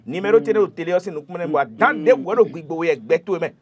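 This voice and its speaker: A man's voice: high-pitched, lively intonation, fast. A middle-aged Beninese man speaking emphatically and repetitively as a traditional healer promoting himself on a TV talk show. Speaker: traditional healer, studio guest. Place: TV studio. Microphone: lapel mic.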